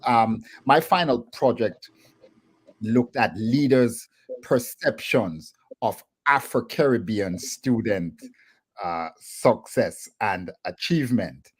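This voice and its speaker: A middle-aged man with a Jamaican accent, a professor guest talking on a video call from a home office, measured with hesitant fillers. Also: lowish voice, animated, slightly rough.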